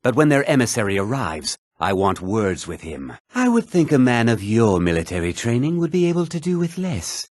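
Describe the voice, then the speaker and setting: Casting: blunt, haughty fantasy game man; raspy low voice, dry close recording. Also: big pitch swings, normal speed, few pauses, no echo. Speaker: video game voice actor. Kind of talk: fantasy game character dialogue.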